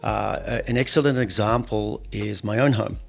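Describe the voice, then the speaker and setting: Middle-aged New man, low voice. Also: moderate pace, creaky. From New Zealand. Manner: steady, advisory, with frequent fillers. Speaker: home inspector guest. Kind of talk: conversational interview.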